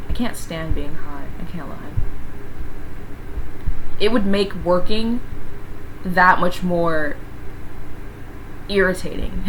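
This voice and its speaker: A woman live streamer chatting casually through would-you-rather questions, her voice hoarse, raspy and gravelly, rambling and sniffing as she talks. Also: medium pitch. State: hoarse, voice partly gone. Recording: steady background noise.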